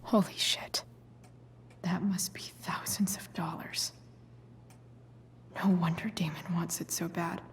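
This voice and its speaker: An actress voicing a tough, guarded, foul-mouthed teenage girl in a video game, with a husky voice and muttered, halting delivery. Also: fairly low pitch, steady tone, whispered. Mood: muttering, suspicious.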